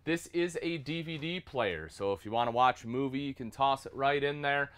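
A man's voice: medium pitch, moderate pace, fairly bright tone, clean tone. A man in his thirties, an RV product specialist, giving an upbeat walkthrough tour inside a small travel trailer and calling viewers folks.

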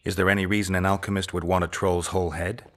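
An actor playing a stoic, curt monster hunter in a video game, with a terse, deadpan delivery. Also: male voice, sits low, quick pace. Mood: dry, inquiring. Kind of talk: video game character acting.

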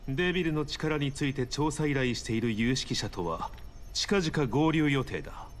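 An actor playing a serious, businesslike ally giving briefings in a game cutscene, precise and matter-of-fact. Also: male voice, mid-range voice, animated, moderate pace, slight background noise, close to an everyday voice. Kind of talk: Japanese game cutscene acting.

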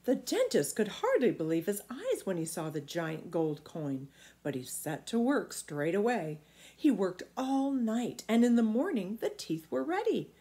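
Animated woman reading a children's story. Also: medium pitch, unhurried. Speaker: storybook reader. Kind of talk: expressive read-aloud of a children's story. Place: small indoor room at home.

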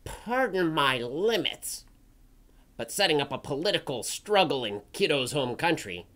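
Slow, swooping, pompous indignant crow puppet act. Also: medium pitch, sing-song, a bit bright. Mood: bitter, exasperated. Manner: pompous, scornful, drawn-out emphatic delivery. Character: indignant, theatrical crow puppet ranting about anime. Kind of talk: dramatic reading of an old forum post.

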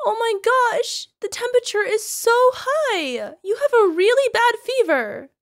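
A woman voicing a possessive young yandere wife who nurses her sick husband, sweetly coaxing with a quiet edge of menace. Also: very high voice, sing-song, unhurried, no room sound, studio quality, exaggerated voice. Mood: panicked. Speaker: voice actress. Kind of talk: ASMR roleplay audio drama.

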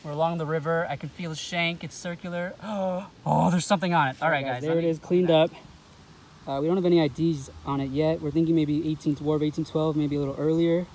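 A man's voice: on the high side, steady tone, quick pace, pauses often, darkish tone. Male vlog host, informal and chatty with viewers, handheld phone sound with background ambience. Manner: informal, addresses viewers as guys. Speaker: metal detecting video host. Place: mostly outdoors in grass and along a river. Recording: clear background noise, outdoor ambience.

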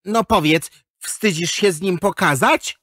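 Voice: medium pitch, a little slow, a bit bright, no echo, studio quality. A performer playing a cheeky, optimistic schoolboy in a children's audio drama. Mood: teasing.

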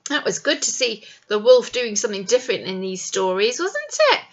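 A woman's voice: mid-range voice, animated, normal speed, lo-fi sound. A middle-aged woman, a teacher reading a picture book expressively to young children and coaxing them with questions. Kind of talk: animated storytime read-aloud for young children. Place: small classroom, indoors.

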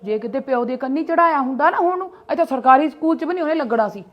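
A woman's voice: high voice, animated, highs cut off. A middle-aged woman, acting a defensive mother who argues back and scolds her sister-in-law in a family skit. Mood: scornful. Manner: argumentative, quick retorts, scolding.

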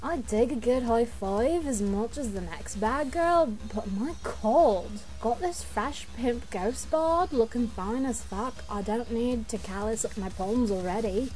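A woman's voice: on the high side, measured pace, hardly any pauses, darkish tone, close to an everyday voice, poor audio. A woman voicing a swaggering, sassy young troll empress with boastful slangy talk in an amateur cartoon fandub. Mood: dismissive, haughty. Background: music.

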